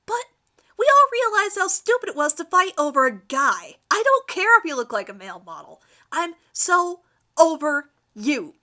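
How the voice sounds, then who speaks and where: Woman doing sassy defiant teen girl, high and bright, emphatic, fanfic reading. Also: very animated, normal speed, exaggerated voice. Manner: emphatic, haughty. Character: shy redheaded teenage girl turned smirking and defiant. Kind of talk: dramatic fan fiction reading.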